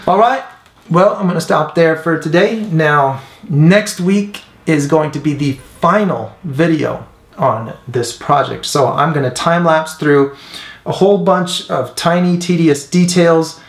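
A man in his thirties talking casually to camera as an instructor, earnest and conversational, pausing to find his words. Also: a little high. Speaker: host, mural painter and instructor. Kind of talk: casual talk to camera, answering viewer comments. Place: indoors, room with painted mural wall. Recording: echoey, roomy sound.

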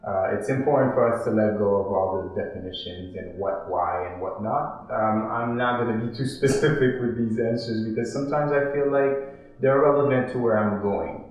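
A man in his thirties, originally from Haiti, speaks in a lowish voice as he answers questions about his art. He talks in a slow, philosophical way, pausing on ums and restarting his sentences. The room rings with heavy echo, and soft music plays behind him. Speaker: artist interviewee. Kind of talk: reflective interview answers. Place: art studio with paintings, indoors.

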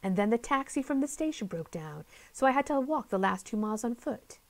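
A woman's voice: fairly high pitch, expressive, fairly quick. A woman voicing a chatty little boy who rambles in a matter-of-fact way.

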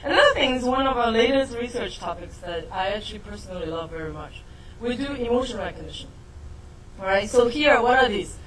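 A woman's voice: medium pitch, expressive, fairly quick, a crowd in the background, rough recording. Middle-aged chinese lady lecturing on tech, keeps asking "right?". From Shanghai, China. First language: Mandarin. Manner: explanatory, asks audience to confirm. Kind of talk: technical talk to an audience.

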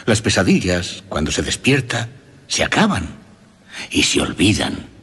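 A man's voice: low voice, big pitch swings, unhurried, fairly bright tone, slightly rough, heavily acted. An actor playing an affectionate father soothing his child in a radio drama. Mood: tender, reassuring.

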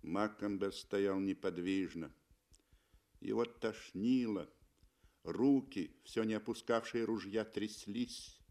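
A man reading literary prose as a narrator in measured, dramatic 1960s Soviet radio style. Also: on the low side, a little mellow.

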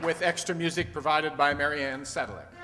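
Male announcer, 60s, slightly high voice, scripted radio-show delivery, loud crowd around him. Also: normal speed, loud music behind the voice. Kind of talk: scripted cast credits in radio announcer manner. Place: on stage in a community hall. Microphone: stand microphone. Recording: echoey hall, loud audience and noise.

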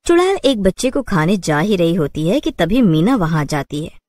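A woman narrates a children's cartoon story. Her voice is fairly low with lively intonation, and the recording is close and dry. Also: normal speed, dry recording.

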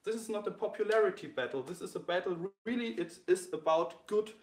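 A man's voice: sits high, expressive, reverberant. German-accented man with many fillers. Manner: halting, many fillers and restarts. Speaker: host of a graffiti sketch battle. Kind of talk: live-streamed explanation to camera. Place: indoor room with a wall-mounted bracket.

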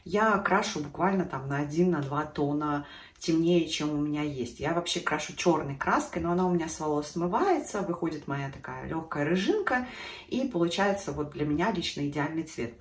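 A woman's voice: deep voice, roomy sound, recorded from a distance. Chatty woman with a stuffy nose. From Russia. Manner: chatty, conversational, shows products on camera. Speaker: beauty vlogger. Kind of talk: casual chat to camera. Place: living room at home.

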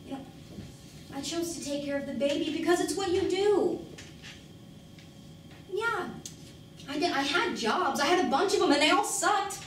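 A woman's voice: extremely high pitch, normal speed, pauses often. Woman acting embittered struggling mother, very echoey room. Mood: weary, defensive. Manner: emphatic, self-questioning, swearing, builds in rhetorical questions. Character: embittered struggling working-class mother, defensive and pleading. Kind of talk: dramatic stage monologue acting. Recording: very echoey room, distant mic, faint noise.

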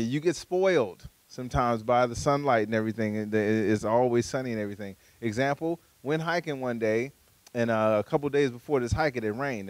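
A man in his forties doing a stand-up comedy set on a small studio stage with a handheld mic, talking conversationally with playful asides and high-pitched voices for punchlines. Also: dry recording. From Chicago, USA. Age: 40s.

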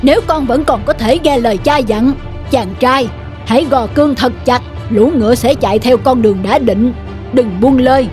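A woman's voice: fairly high pitch, moderate pace, a little mellow, soft background music, natural voice. A woman voicing a proud, protective sun god father in a cartoon, speaking formally and solemnly. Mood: urgent, commanding. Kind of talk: dubbed mythological cartoon acting.

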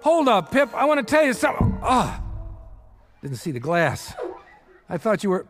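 A man's voice: high voice, huge pitch swings, moderate pace, soft background music, exaggerated voice. An American man playing a guilt-ridden, weak small-time con man father in a radio drama, emotional, hesitant and pleading. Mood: anxious, pleading.

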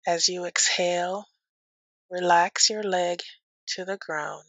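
A woman teaching yoga nidra as a guided meditation, speaking gently and soothingly with long pauses. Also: on the low side, fairly even intonation, dry recording, muffled recording.

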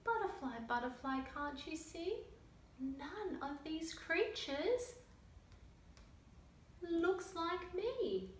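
A woman's voice: extremely high pitch, animated, very slow, long pauses, voice pushed up, heavily acted. A woman voicing an upset young monkey in a children's storybook, emphatic and exasperated as it searches for its mum. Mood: frustrated. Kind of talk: children's picture book read-aloud. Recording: roomy, distant mic, faint noise.

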